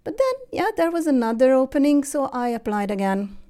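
Hungarian woman in her forties, warm dark tone, unhurried and halting, interview guest. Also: high voice, animated. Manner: hesitant, many fillers and restarts. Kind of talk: casual interview conversation.

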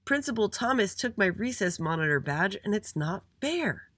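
A storyteller voicing a boastful, dramatic young schoolboy in a children's story, whiny and loud. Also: medium pitch, sing-song, moderate pace, breathy edge, dry recording, clean studio sound, pitched above the performer's own voice. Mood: indignant. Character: little Andy, boastful dramatic schoolboy recess monitor.

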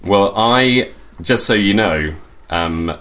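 A man's voice: on the low side, animated, slow, fairly bright tone. A man co-hosting a casual podcast, playful and jokey in his banter. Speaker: podcast co-host.

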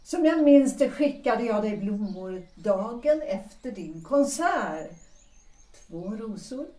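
An actress playing an ardent, earnest former opera singer in love, speaking with dramatic romantic phrasing in a period audio drama. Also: female voice, fairly high pitch, expressive, dark tone, close to an everyday voice. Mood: gently reproachful. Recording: roomy, distant from the mic.

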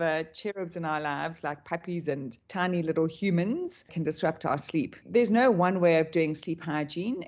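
A woman's voice: fairly low pitch, a little mellow, lo-fi sound. A woman coach, a guest on a podcast, explaining her subject in an enthusiastic, rambling way. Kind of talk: podcast interview.

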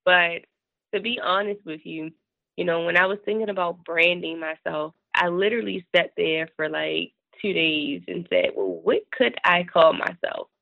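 A woman in her thirties, raspy from a cold, chatting easily as a podcast guest and laughing often. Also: lowish voice, steady tone, normal speed, no room sound. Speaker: podcast guest, business consultant. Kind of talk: casual interview talk. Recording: tinny phone-line sound.

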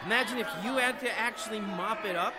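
An earnest but sarcastic young nephew played by a man in a radio comedy. His voice is high for a man, and he sounds put-upon, shifting between sardonic and pleading. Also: quick pace, crowd noise, lightly acted. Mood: sarcastic, resigned. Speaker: actor in an audio comedy drama. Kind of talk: live comedic radio theatre acting.

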